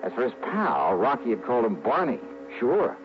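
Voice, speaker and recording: An actor playing a wry, world-weary private investigator, narrating in clipped, confiding 1960s hardboiled radio drama style. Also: male voice, mid-range voice, huge pitch swings, moderate pace, a little hiss or hum. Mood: intent, confiding. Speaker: lead actor and narrator of a detective series. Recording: muffled old radio broadcast with music.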